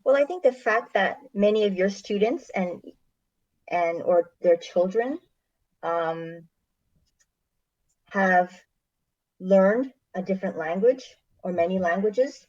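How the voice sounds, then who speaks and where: Slow, mellow-voiced woman on dull audio. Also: medium pitch, lively intonation. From Canada. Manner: measured and thoughtful, with frequent pauses and fillers. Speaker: missionary. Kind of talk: interview answers. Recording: dull lo-fi recording.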